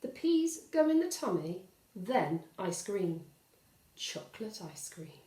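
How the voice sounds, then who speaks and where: A woman's voice: medium pitch, very animated, slow pace, dark tone, reverberant. A woman reading a bedtime story and hosting for young children, speaking expressively and directly to them. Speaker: storyteller and host.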